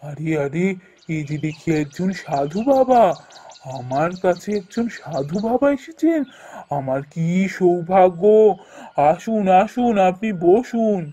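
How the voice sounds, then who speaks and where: A man's voice: high voice, lively intonation, takes it slowly, mellow, full character voice. A man playing an eager, thrifty young village merchant in a folk-tale cartoon, fretful and exclamatory as he talks. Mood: deferential.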